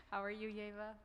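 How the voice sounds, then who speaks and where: A woman's voice: medium pitch, slight background noise. A young woman with a mid-range voice hosts a casual interview. She feels her way through her phrases conversationally, and her voice rings with long reverb, as in a big hall. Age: young adult. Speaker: interviewer, event host.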